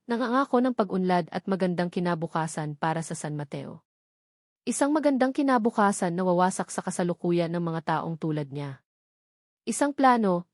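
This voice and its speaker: Story narrator: woman, Filipino accent, dramatic scripted read, medium pitch, no room sound. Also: darkish tone, no echo, clean studio sound.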